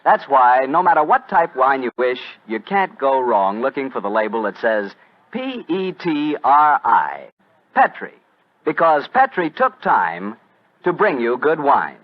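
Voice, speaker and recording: A man with an American accent, a chatty, folksy radio announcer and sponsor pitchman in 1940s style. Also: sing-song, unhurried. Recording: muffled old radio broadcast.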